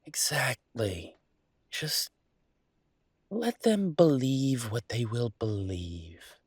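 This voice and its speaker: Man acting as a husky, playful chef boyfriend. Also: medium pitch, animated, very slow, no room sound, clean studio sound, exaggerated voice. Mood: playful, coaxing. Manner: conversational, playful, exasperated banter. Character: easygoing, teasing human chef boyfriend, gadget-loving and affectionate. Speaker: audio roleplay performer. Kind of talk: intimate one-sided boyfriend audio roleplay.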